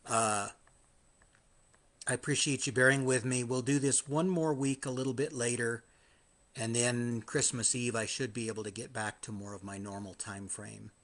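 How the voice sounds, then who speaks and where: A man hosting his own live podcast, a little hoarse after hours of singing, talking in a chatty, self-deprecating way with frequent restarts. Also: medium pitch, steady tone, moderate pace, a bit bright. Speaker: podcast host. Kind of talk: live-streamed casual monologue.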